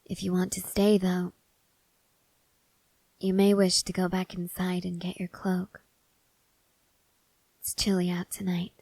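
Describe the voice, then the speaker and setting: A woman playing a stoic, devoted young woman soldier in an intimate ASMR roleplay, speaking softly and hesitantly, often half-whispering. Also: on the low side, monotone, unhurried, creaky, pauses often, no echo, rough recording. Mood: tender, quiet. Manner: hushed, hesitant, gently reserved.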